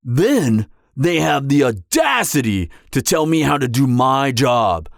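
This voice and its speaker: A man's voice: medium pitch, slow pace, creaky, dry recording, studio quality, full character voice. A young man playing a charming, vain businessman supervillain on a blind date in a romantic comedy audio roleplay, talking conversationally and sarcastically to the listener. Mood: exasperated ranting. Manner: conversational, animated, exasperated venting with sarcastic edge.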